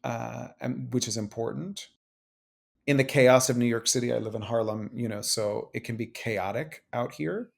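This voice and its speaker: A man who is a guest on an interview show, talking casually, animated, restarting and repeating phrases. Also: mid-range voice, normal speed, vocal fry. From Cuban-American. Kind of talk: casual conversation. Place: New York City.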